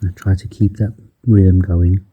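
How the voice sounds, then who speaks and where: A man guiding a breathing meditation in a slow, soft-spoken manner, counting the breaths aloud and dropping some counts to a whisper. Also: low-pitched, little pitch movement, breathy edge, phone-quality sound. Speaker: meditation guide.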